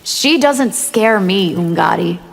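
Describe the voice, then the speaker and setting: A woman's voice: mid-range voice, lively intonation, takes it slowly, gravelly, noisy background. An actress playing a haughty, menacing winged vampire woman in a fighting video game. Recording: loud music behind the voice.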